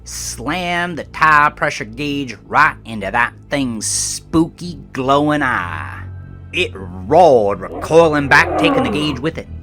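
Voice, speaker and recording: An actor playing a shaken, defensive American trucker, telling a horror story in a coarse, folksy, rambling way for an audio drama. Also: male voice, mid-range voice, very animated, slow pace, pushed and bright, soft background music, heavily acted. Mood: frantic. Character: shaken, defensive trucker confessing a horrifying roadside encounter. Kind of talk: dramatic first-person horror audio monologue.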